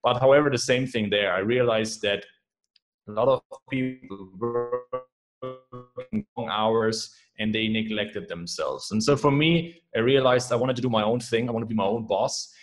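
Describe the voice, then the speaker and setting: A man's voice: mid-range voice, moderate pace, faint music behind, poor audio. A young adult man with a German accent, a guest chatting casually and enthusiastically on a podcast. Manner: upbeat, enthusiastic, repeats "man" and "right?". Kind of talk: casual interview chat.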